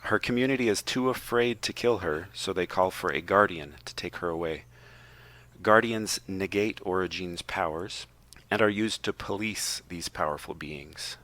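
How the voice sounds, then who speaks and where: A low-pitched man with a bright, sharp tone reads a prepared summary on a podcast. His delivery is tongue-in-cheek, dropping playful asides between plot points. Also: normal speed. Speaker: podcast co-host reading a book synopsis.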